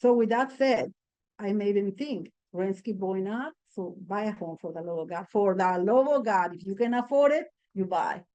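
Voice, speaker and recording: A woman real estate agent on a panel, talking in a lively, animated way and laughing through her stories. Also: mid-range voice, normal speed. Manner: lively and animated, laughs while telling anecdotes. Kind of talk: panel discussion.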